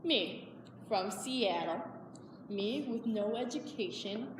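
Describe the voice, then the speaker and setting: A woman performing a monologue as a defiant, brash performer who speaks slowly and emphatically. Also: medium pitch, animated. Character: defiant brash stripper confronting her mother. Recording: echoey hall from far off, background noise.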